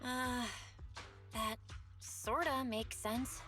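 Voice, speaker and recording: High-voiced curious young woman, music behind. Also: steady tone, drawn-out words. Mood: surprised, curious. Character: friendly young female proxy, curious and candid. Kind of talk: scripted video game voice acting. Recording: music under the voice.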